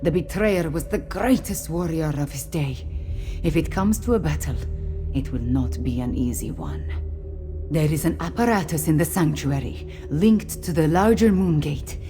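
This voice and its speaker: A solemn mystical priestess from a fantasy game. Her voice sits a little low and she speaks with grave, measured formality. Music plays clearly behind her. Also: lively intonation. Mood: grave, determined. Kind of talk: fantasy video game voice acting.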